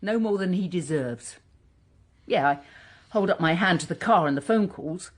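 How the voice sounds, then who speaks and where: A woman's voice: on the low side, expressive. An actress playing a bitter, defiant woman wronged years ago, in a naturalistic radio drama. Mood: indignant. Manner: impassioned, halting when hurt.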